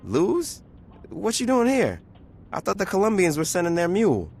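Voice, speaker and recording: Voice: medium pitch, wildly swooping pitch, moderate pace, a little mellow, husky, full character voice. An actor playing a brash, sarcastic young gang leader in a video game cutscene. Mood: mocking. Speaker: protagonist gang boss.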